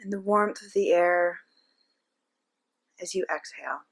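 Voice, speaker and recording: A woman narrating a guided meditation as a teacher, giving gentle step-by-step instructions with frequent pauses. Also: fairly low pitch, gravelly, slightly rough.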